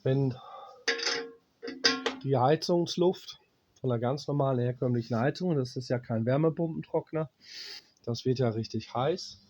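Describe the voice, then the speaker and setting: A man's voice: medium pitch, mellow, muffled recording, faint music behind. A man with a German accent and a cold explains a dryer repair bluntly, stopping now and then to check parts as he works. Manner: blunt, plain-spoken, swears at cheap gear; pauses while working, talks to himself as he checks parts. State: has a cold, coughing. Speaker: appliance repairman.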